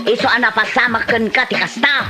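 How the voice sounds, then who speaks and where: A woman's voice: fairly high pitch, steady tone, a little fast, music in the background, lightly acted. A woman acting a feisty, scolding woman who fights back, in an Ilocano comedy radio drama. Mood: scolding, unforgiving.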